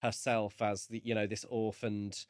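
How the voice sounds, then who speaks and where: A man co-hosting a podcast, chatting casually about a book and reasoning his way through in hesitant, measured phrases. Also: fairly low pitch, little pitch movement, no room sound, studio quality. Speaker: podcast co-host. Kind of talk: casual book discussion chat.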